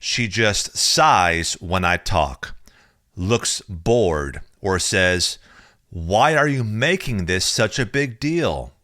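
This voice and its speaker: A man reading a chapter of his own book aloud in a slow, measured, deliberate way, recorded close on a studio mic. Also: fairly low pitch, expressive, sharp tone, no echo. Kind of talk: audiobook reading.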